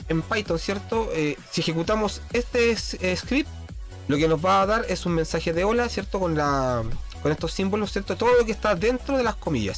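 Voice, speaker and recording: Man teaching with a slight cold. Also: a little high. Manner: keeps asking "¿cierto?", many fillers and restarts. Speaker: programming course instructor. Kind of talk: casual screen-share tutorial, reviewing quiz answers.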